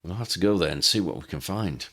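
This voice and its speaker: A man's voice: low voice, lively intonation, quick pace, fairly bright tone, exaggerated voice. A man voicing a practical, frank magical investigator in a storybook, casually reasoning aloud. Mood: matter-of-fact.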